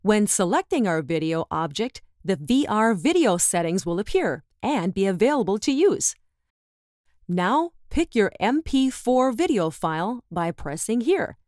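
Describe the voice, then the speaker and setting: A woman reading a scripted step-by-step software tutorial in a clear instructional way. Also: medium pitch, sing-song, unhurried, no echo, studio quality. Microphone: close voice-over microphone, dry.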